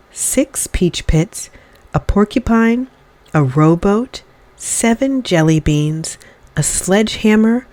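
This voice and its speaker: A woman reading a children's story aloud in expressive, dramatic narration with deliberate phrasing. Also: low voice.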